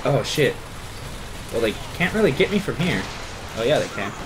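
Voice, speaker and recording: A man chats casually as he plays a video game, with very lively swings in pitch. He is crude and excitable, swearing and mocking himself, over a faint bed of game sound. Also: normal speed, poor audio. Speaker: gamer playing a video game on a channel. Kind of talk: casual gameplay commentary with banter. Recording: faint game noise behind voice.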